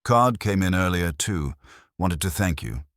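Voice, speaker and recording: A man's voice: deep voice, lively intonation, a little slow, fairly bright tone, no room sound, studio quality, pitched above the performer's own voice. A man voicing a dutiful, matter-of-fact police sergeant in an audio drama, reporting plainly.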